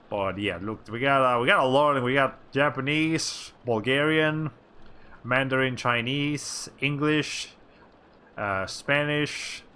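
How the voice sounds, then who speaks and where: Male streamer, bright tone, casual rambling game commentary with dry asides. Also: mid-range voice, slight background noise. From Latin America. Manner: rambling, self-correcting, with wry asides. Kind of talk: casual chat while playing a game.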